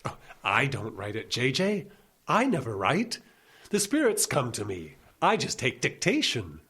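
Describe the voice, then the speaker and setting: Man as older eccentric doctor, wildly animated pitch, husky edge, courtly, whimsical, genial, audio comedy. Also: unhurried, heavily acted. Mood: whimsical, serene. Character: genial eccentric old doctor who takes dictation from ghosts. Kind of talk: audio comedy drama acting.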